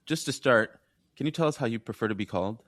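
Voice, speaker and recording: A man's voice: mid-range voice, expressive, quick pace, a bit bright. A man who is a physician, hosting a podcast and interviewing in a conversational style.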